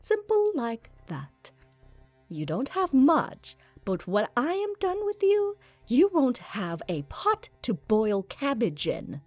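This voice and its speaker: A woman's voice: a little high, huge pitch swings, slow, dry recording, soft background music, exaggerated voice. A woman playing a cold, cruel pony wife in an audio drama, speaking with contempt and dripping disappointment. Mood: bitter contempt.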